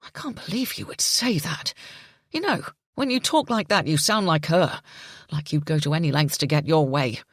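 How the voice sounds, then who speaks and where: A woman reading a panicked father, giving him an urgent, shaky, lowered voice. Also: lively intonation, moderate pace, breathy edge, no echo, clean studio sound. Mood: angry. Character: frantic grown man, a panicked father.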